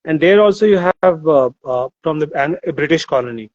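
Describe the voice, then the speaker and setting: A man who grew up in Nigeria, an author guest in a casual discussion, who talks thoughtfully and pauses often to search for words. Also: on the high side, lively intonation, a little mellow, highs cut off. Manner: thoughtful, with many fillers and restarts.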